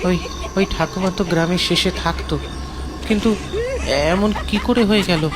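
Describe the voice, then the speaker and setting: A man's voice: high-pitched, moderate pace, pitched above the performer's own voice, close to an everyday voice. A man playing a terrified village family man in a horror cartoon, stammering and repeating himself in panic. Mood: frightened, bewildered. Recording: clearly noisy background.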